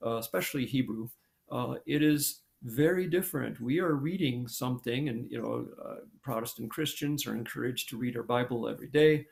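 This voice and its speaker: Gravelly older guy on a video call, lots of uhs and restarts, explaining his view. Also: medium pitch. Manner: halting, many fillers and restarts. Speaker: planetary scientist guest. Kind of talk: thoughtful interview answer. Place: home room with posters.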